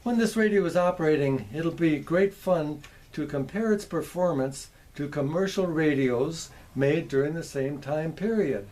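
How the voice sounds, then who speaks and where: A man presenting a show-and-tell video in a folksy, deliberate way, with short careful phrases, theatrical exclamations and wry humour. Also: a little high, darkish tone. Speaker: presenter, vintage radio collector.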